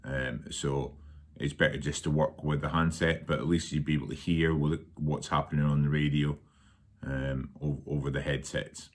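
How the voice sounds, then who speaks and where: A man with an extremely low voice talks through a DIY job at home. His delivery is informal and matter-of-fact, with a halting, hesitant way of putting sentences together. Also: flat delivery. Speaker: DIY workshop presenter. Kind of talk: informal hands-on electronics tutorial. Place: indoors at a dining table at home.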